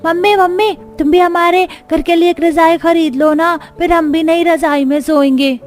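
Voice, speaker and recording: A woman's voice: very high-pitched, fairly even intonation, moderate pace, pitched above the performer's own voice. A woman voicing a small child in a cartoon story, with a whining, insistent, pleading manner.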